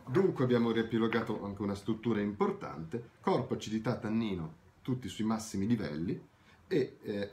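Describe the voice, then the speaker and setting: A man's voice: sits low, slight background noise. A man in his thirties explaining wine tasting in a video tutorial, talking animatedly to the camera from a room at home. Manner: animated, gesturing, explanatory with self-corrections. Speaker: wine educator.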